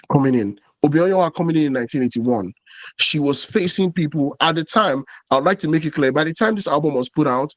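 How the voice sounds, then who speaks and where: A man's voice: fairly high pitch, dry recording. Man explaining music history in a dry room. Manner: explanatory, earnest, many fillers. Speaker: podcast co-host. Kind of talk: casual podcast discussion.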